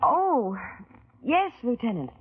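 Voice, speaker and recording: A woman's voice: on the high side, very animated, slow pace, slightly rough, full character voice. A guarded, desperate businesswoman. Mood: uneasy. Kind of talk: 1940s radio acting. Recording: tinny old radio broadcast.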